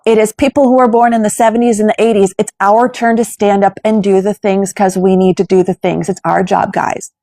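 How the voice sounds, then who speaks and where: A woman's voice: medium pitch, flat delivery, a little fast, hardly any pauses, dark tone, no room sound. A woman in her forties hosting a political interview from home, speaking emphatically and persuasively. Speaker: podcast host.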